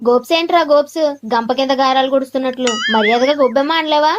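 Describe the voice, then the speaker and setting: A sharp-tongued, proud matron from a comic Telugu cartoon acted by a woman. She scolds and lectures at speed in a high voice. The sound is slightly dull and lo-fi. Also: very fast, noisy background, natural voice. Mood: scolding. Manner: scolding, opinionated. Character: sharp-tongued, proud, elder female festival dung-ball figure.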